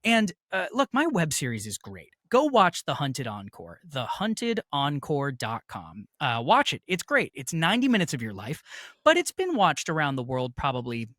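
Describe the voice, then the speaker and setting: A man's voice: a little high, wildly swooping pitch, normal speed, a bit bright, dry recording, clean studio sound. An American man, a chatty and emphatic interview guest telling stories in a casual, jokey way. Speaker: interview guest, audio fiction producer. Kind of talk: casual conversational storytelling.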